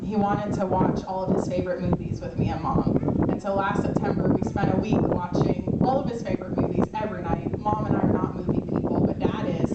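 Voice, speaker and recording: A young woman speaks through a microphone at an open-air pavilion, keeping her words light and laughing between lines. The sound is echoey and distant, with loud background noise. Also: medium pitch, highs cut off. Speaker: eulogist, daughter of the deceased. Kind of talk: informal memorial speech. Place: outdoor pavilion. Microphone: microphone through loudspeaker. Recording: echoey, distant, noisy outdoor recording.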